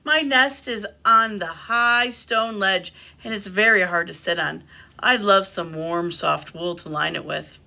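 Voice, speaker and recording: A woman's voice: medium pitch, measured pace, gravelly, bright, forceful tone, exaggerated voice. A woman voicing a wishful, fussy nesting crow in a children's storybook, explaining what it would like. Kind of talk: children's storybook read-aloud.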